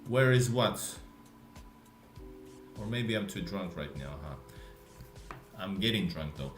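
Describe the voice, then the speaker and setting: Low-voiced man with Russian accent. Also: takes it slowly, reverberant, soft background music. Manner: intentionally lazy, relaxed way of talking. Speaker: YouTuber live streaming. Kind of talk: casual live stream chat answering viewers.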